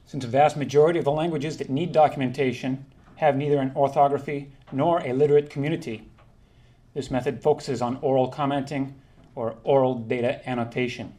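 Guy giving a conference talk, reads it out steady and formal, mid pitch, trips on a word now and then. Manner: measured, formal delivery with occasional self-corrections. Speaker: linguistics conference presenter. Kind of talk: reading a prepared academic talk.

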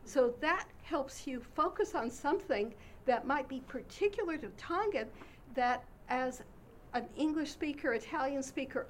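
A woman giving an academic conference presentation on her research in a hesitant way, with pauses between phrases. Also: sits high, slight background noise.

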